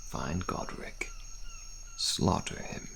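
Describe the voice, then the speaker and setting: A man playing a weary, humble deserter soldier in a fantasy ASMR roleplay, speaking in measured, formal phrases with a breathy, gravelly half-whisper. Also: low-pitched, a little hiss or hum, exaggerated voice. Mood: grim, urging. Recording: steady background night insects, crickets.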